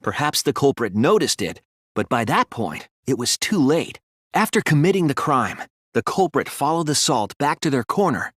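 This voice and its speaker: A man voicing an earnest, analytical teenage boy detective in a video game, reasoning deliberately through scripted dialogue. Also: mid-range voice, very animated, a bit bright, no echo, clean studio sound. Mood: calm, analytical.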